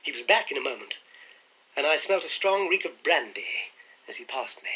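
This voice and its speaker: A man narrating an audiobook in a measured, crisp storytelling manner. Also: fairly high pitch, big pitch swings, bright, forceful tone. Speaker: audiobook reader. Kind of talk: scripted narration. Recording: dull lo-fi audiobook recording.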